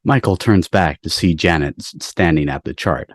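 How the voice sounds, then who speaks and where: Deep-voiced man reading stage directions. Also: moderate pace, no room sound, clean studio sound. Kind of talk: scripted narration, screenplay stage directions. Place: video call.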